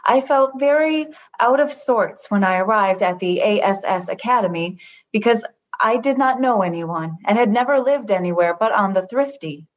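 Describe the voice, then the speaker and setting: An actress playing an earnest, kindly android lieutenant in a comedy radio play, formal and precise. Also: female voice, medium pitch, lively intonation, normal speed, muffled recording, natural voice. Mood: warm sincerity. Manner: formal, precise, avoids contractions. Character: earnest, literal-minded, kindly female android junior lieutenant.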